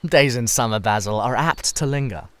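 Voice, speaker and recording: A man's voice: medium pitch, moderate pace, dry recording, natural voice. A man voicing a witty, cynical, self-satisfied Victorian aristocrat in a dramatised reading of a classic novel, with a theatrical manner. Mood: languid, pontificating.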